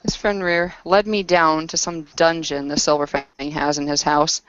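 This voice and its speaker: Woman playing a low-voiced, battle-worn werewolf woman. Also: level tone, highs cut off, close to an everyday voice. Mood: grim, halting. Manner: terse, halting, grave storytelling.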